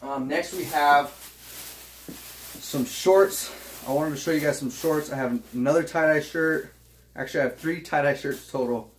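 A man's voice: a little high, moderate pace, slight background noise. A young man from Utah chatting casually to camera in a small home office, rambling with fillers and restarts as he shows gym clothes. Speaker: vlogger. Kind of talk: casual try-on haul chat.

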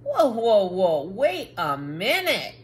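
A woman's voice: medium pitch, wildly swooping pitch, takes it slowly. A woman voicing a grumpy, dramatic talking book. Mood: alarmed. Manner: bossy, dramatic exclamations. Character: cranky, grumpy talking picture book, bossy and easily exasperated. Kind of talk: children's picture book read-aloud.

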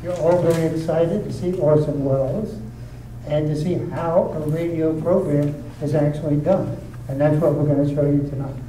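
A man's voice: a little high, fairly even intonation, moderate pace, mellow. A man hosting a community readers theater, chatting with a live audience and often checking in with "okay?". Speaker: host introducing a readers theater show. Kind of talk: casual talk to a live audience. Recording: echoey room, distant mic, noisy.

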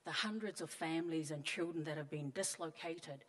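A middle-aged woman from Tonga, giving a measured, earnest panel speech on stage into a handheld mic. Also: low voice, fairly bright tone. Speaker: panelist, member of parliament. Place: large conference hall on stage. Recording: long hall reverb.

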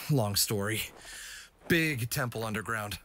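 A man playing a quick-witted, confident fox adventurer, casual and wisecracking, in an audio drama. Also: lowish voice, sharp tone, slight background noise. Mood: casual, explaining. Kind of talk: animated audio drama acting.

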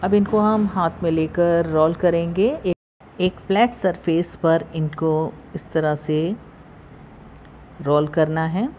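A woman hosting a cooking channel, calmly talking through the steps of a recipe in her home kitchen, recorded on a phone. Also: on the low side, slow pace, lo-fi sound, slight background noise. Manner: calm, instructive, repeats steps. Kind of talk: step-by-step recipe voice-over.

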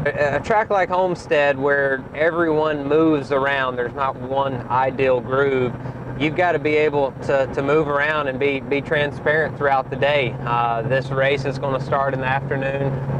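A young man, a race car driver, casually explaining a track while driving, relaxed with many fillers and restarts. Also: medium pitch. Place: inside a car on a racetrack. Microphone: in-car camera. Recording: muffled car-interior audio with road noise.

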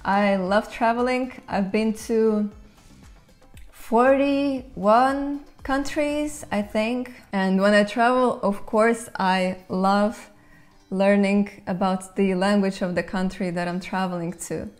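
A young woman from Latvia whose first language is Russian, speaking English in a relaxed question-and-answer video, thoughtful with light laughs, on a lapel mic in a bright indoor room. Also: mid-range voice, slow, soft background music. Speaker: language channel host. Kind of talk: casual Q&A to camera.

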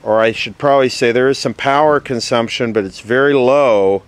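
A man giving casual, off-the-cuff narration of a repair job, halting as he thinks aloud. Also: medium pitch, clear, resonant tone. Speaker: host, vintage radio repairer. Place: beside an old console radio being worked on.

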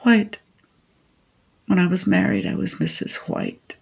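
An actress playing an opinionated, garrulous older widow in a comedic radio play, with a wordy, theatrical, dryly sardonic delivery. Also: female voice, medium pitch, steady tone, unhurried, gravelly, darkish tone, breathy edge, exaggerated voice. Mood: proud, defiant. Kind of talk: comedic radio drama acting.

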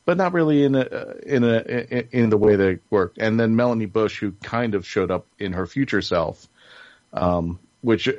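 A male podcast host with a fairly low voice chats casually with a panel. He stumbles, repeats words and restarts his phrases. The sound is dry and somewhat lo-fi, with little top end. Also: a little mellow, no echo. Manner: halting, repeats words and rephrases often. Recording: dull, lo-fi close sound.

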